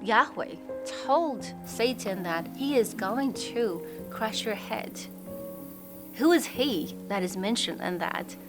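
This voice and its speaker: A woman's voice: a little high, animated, slow. Earnest young woman from Pakistan, music behind. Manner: earnest, reflective. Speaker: testimony speaker. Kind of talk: personal testimony. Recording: music bed underneath.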